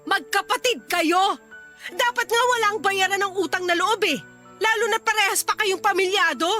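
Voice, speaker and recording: Really high sharp-voiced filipino wife, fed up and scolding, radio drama style, faint music under. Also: female voice, normal speed. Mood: furious, shouting. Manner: scolding, indignant, confrontational. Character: angry, fed-up young wife and mother.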